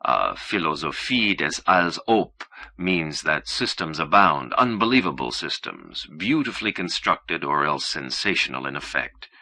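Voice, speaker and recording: A man reading literary fiction as an audiobook narrator, in a measured, formal and precise manner. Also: extremely low pitch, sing-song, bright, forceful tone.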